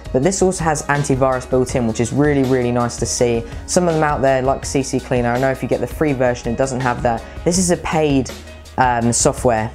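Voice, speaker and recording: A young man presents a casual tech review in a chatty way, repeating words for emphasis. His voice sits at a medium pitch, with faint music playing behind him. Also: darkish tone. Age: young adult, late teens. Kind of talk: casual scripted-free product review to viewers. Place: small bright room at home. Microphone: clip-on lapel mic.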